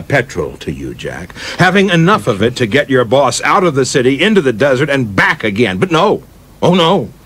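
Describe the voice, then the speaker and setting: An actor playing a flamboyant young film director in a radio play, theatrical, florid and teasing, with a husky voice. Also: male voice, medium pitch, a bit bright, slight background noise. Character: flamboyant, grandiose young film director spinning tall tales.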